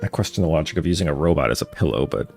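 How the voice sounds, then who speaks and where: Low, gravelly man streaming a game. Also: animated, racing, music in the background. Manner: wry, muttering to self. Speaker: live streamer. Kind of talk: casual game playthrough commentary.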